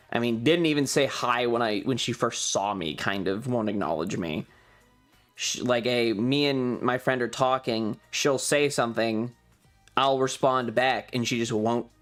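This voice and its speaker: A streamer with an androgynous voice chats through a casual story over background music. The delivery is slow and rambling, with an exasperated manner and a voice that is starting to give out a little. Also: medium pitch. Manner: rambling, exasperated, acts out quoted dialogue. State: voice slightly going.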